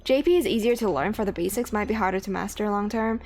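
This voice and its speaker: A woman's voice: medium pitch, fast talker, darkish tone. A woman whose first language is Cantonese, streaming a game in casual chat, swearing freely and laughing often. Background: faint game music.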